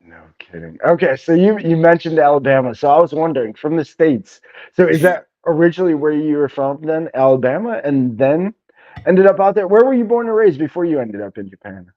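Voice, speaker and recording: A man's voice: on the high side, expressive, brisk, dry recording, lo-fi sound. A male podcast host who chats enthusiastically and laughs often. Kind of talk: casual interview chat.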